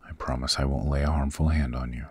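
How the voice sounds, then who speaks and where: A man voicing a possessive, protective demon king in a husky, very deep voice, formal and intimate, in an ASMR roleplay. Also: fairly even intonation, fast talker, a little hiss or hum. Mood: commanding, caring. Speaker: voice actor.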